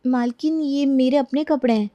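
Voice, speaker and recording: Woman playing a meek, high-voiced young housemaid. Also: normal speed, mellow, no room sound, dull audio, natural voice. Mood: timid, defensive. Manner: deferential, timid, hesitant. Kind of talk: Hindi cartoon story character dialogue.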